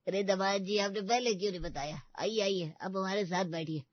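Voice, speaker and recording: A woman's voice: medium pitch, brisk, dry recording, lo-fi sound, lightly acted. A woman voicing a hospitable, eager older village uncle in a Hindi comedy cartoon. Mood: jovial, insistent.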